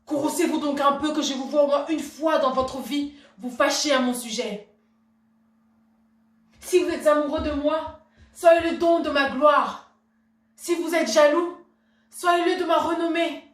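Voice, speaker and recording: Actrice jouant une noble dame outragée, voix lointaine et résonnante. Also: female voice, fairly high pitch, fairly even intonation, takes it slowly, long pauses. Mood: furious, declaiming. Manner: imperious, declamatory, formal address. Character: proud, outraged Renaissance noblewoman, an insulted duchess wife. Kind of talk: theatrical classical stage monologue. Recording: echoey room, distant microphone.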